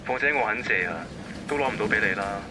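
An actor plays a cautious, worried young man with a Hong Kong Cantonese accent. His slightly low voice speaks quickly in radio drama style, against clear background noise. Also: natural voice.

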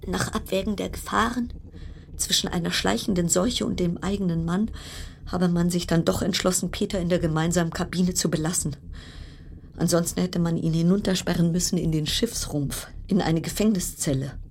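A woman's voice: fairly low pitch, steady tone, normal speed, creaky, darkish tone, slight background noise. An actress in a radio drama playing a wry, anxious cruise passenger stranded at sea, telling her story in literary, broken-off sentences. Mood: dramatic, wry reporting. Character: weary woman passenger stranded on plague-stricken cruise ship, wry, anxious.

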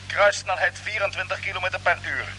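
An actor playing a brisk, commanding captain of a Mars expedition in a vintage radio drama. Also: male voice, high voice, fairly even intonation, loud music behind the voice. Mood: businesslike. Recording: noisy old radio play recording.

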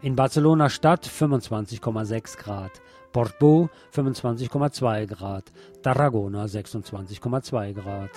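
A man's voice: mid-range voice, warm tone. A man presenting scripted local radio news as a narrator. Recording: music playing behind the voice. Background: background music.

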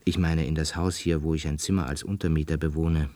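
An actor in a German radio play voicing a calm, introspective lodger in a musing, murmuring manner. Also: male voice, a bass voice, brisk, no room sound. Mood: brooding. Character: brooding male lodger, former medical student, calm, introspective.

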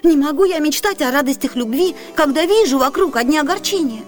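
A naive young merchant's daughter in love, with a high girlish pitch. She is anxious and exclamatory, sighing her way through a classic radio stage comedy. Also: lively intonation, fairly quick, soft background music. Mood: plaintive. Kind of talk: Soviet radio theatre comedy acting.

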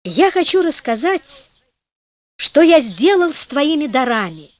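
A woman voicing a kind, wise old wanderer who speaks gently and calmly. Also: sits high, a little slow, pitched above the performer's own voice. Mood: earnest.

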